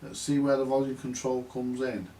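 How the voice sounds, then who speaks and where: A man giving halting, unscripted commentary at a workbench, muttering to himself as he works things out. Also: medium pitch, level tone, mellow. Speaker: vintage radio repair hobbyist. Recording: distant mic with background hiss.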